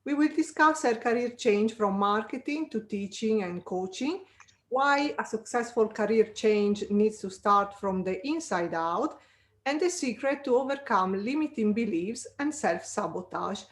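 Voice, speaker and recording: A woman's voice: medium pitch, animated, measured pace. A woman hosting a career podcast, reading a prepared introduction and then asking her guest questions. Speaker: podcast host.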